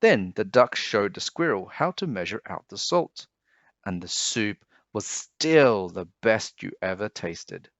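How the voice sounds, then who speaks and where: A man reading a children's storybook aloud in an expressive, dramatic way. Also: on the low side, unhurried, creaky, dry recording, lo-fi sound.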